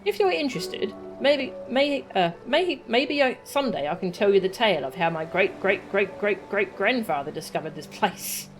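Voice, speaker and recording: A woman voicing an old male storyteller who loves telling tales, read aloud from game dialogue in a steady narrating tone. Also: mid-range voice, normal speed, hardly any pauses, music in the background, lightly acted, low-quality recording. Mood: storytelling.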